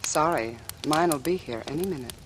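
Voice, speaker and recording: Actress as a cool, wry noir dame, crackly recording. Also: female voice, sits low, a little fast, lightly acted. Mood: guarded, wry. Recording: noisy, crackly vintage radio recording.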